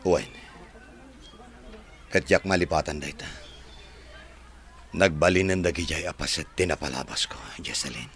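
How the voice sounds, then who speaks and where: A man playing a wounded but resolute former boyfriend in a radio drama, grave and measured, with a Filipino Ilocano accent. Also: a bass voice, a bit bright. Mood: hurt, resolute.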